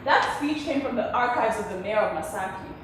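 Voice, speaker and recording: A woman's voice: mid-range voice, lively intonation, a little fast, fairly bright tone, lightly acted. A woman plays an indignant, dramatic adult daughter in a stage play. Her voice swings widely and emphatically in sarcastic exasperation. It is very echoey and heard from a distance in a theatre. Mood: exasperated, mocking. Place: indoor theatre stage, recorded from far off. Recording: echoey theatre, distant mic, faint noise.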